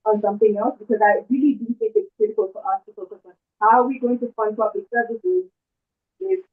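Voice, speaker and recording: A woman from Nigeria, asking a panel an earnest, measured question over a video call. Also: a little high, lively intonation. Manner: earnest, measured, policy-minded. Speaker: audience member asking a question. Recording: tinny video call audio.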